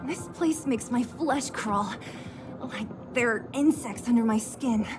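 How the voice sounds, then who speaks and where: A woman's voice: on the high side, unhurried, natural voice. An actress playing a blunt, tough, watchful young woman in a video game cutscene. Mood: uneasy. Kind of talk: English game voice acting, cutscene dialogue. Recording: music behind the voice.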